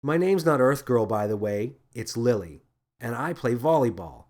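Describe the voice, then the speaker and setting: A man's voice: mid-range voice, very animated, moderate pace, a little mellow, lightly acted. A man voicing an earnest, plaintive little girl in a children's space adventure audiobook. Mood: plucky.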